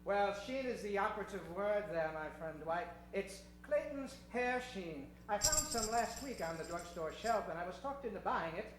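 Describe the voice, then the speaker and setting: A man's voice: high voice, animated, moderate pace, few pauses. Self-satisfied genteel endorser, played by a man: mannered delivery, old radio ad style, echo and faint hum. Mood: smug, pleasant. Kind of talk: old-time radio ad skit. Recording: echoey room with hum.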